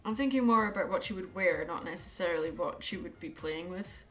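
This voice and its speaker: A woman's voice: fairly low pitch, fairly quick, fairly bright tone. A woman chatting casually on an art livestream as she draws, musing aloud and thinking as she goes. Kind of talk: casual livestream chat while drawing. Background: background music.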